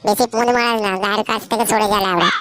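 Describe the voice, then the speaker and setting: A woman's voice: medium pitch, steady tone, very fast, a bit bright, clean tone, close to an everyday voice. A woman voicing a cocky, greedy young male schemer in a comic cartoon, brash, bossy and slangy. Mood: angry, quarrelsome.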